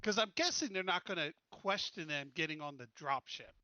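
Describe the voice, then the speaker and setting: A man's voice: a little high, lively intonation, normal speed, bright, forceful tone, no echo, dull audio. A man talking casually as a tabletop game player, thinking aloud while he plans his moves.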